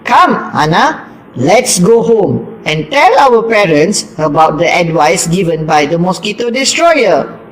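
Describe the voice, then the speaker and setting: A woman's voice: lowish voice, very animated, a little slow, rough recording. A woman voicing an eager, polite young schoolboy in an amateur puppet show, with an exclamatory recited delivery.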